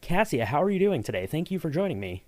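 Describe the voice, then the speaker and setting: A man's voice: fairly high pitch, sing-song, fast, darkish tone. A man hosting a podcast and interviewing his co-host, speaking in an upbeat way with frequent fillers.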